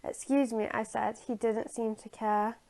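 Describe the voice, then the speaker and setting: Hesitant, slow-paced woman retelling a story. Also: a little high, fairly even intonation, a little mellow. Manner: halting, hesitant, searching for words.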